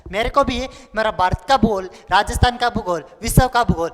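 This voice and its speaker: A young male teacher with a noticeably high-pitched voice drills exam material in a playful, colloquial manner. He repeats phrases over and over for his learners. The recording has long room reverb and some background noise. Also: fairly quick, fairly bright tone. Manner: repetitive, playful, colloquial, acts out pupils' questions. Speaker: online exam-prep geography teacher. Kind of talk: animated lesson with repeated drilling. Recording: noisy, echoing hall-like room with clicks.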